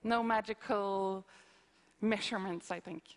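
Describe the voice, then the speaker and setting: A woman's voice: medium pitch, steady tone, unhurried. A woman with a Swedish accent, a conference speaker answering audience questions on stage, explaining with hesitant restarts and examples. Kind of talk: live Q&A on stage. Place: conference hall. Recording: reverberant conference hall.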